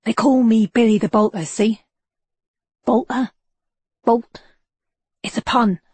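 A woman's voice: on the high side, steady tone, slow, darkish tone, dry recording, pitched above the performer's own voice. A woman voicing Billy the Bolter, a shifty male Victorian street informant with a slangy voice, in an audiobook. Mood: joking. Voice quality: rough slangy street talk. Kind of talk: audiobook character voice.

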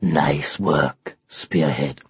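Man doing a very deep, growling storybook lion. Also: very slow, muffled recording, full character voice. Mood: approving, conspiratorial. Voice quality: low growl. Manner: terse, gruff. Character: gruff, loyal male lion, servant to a knight. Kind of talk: children's storybook character voice.

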